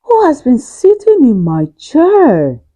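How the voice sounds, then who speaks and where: Woman voicing a loud, indignant big father bear. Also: high-pitched, wildly swooping pitch, slow, no room sound, voice pushed up, full character voice. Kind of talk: children's storybook character voice.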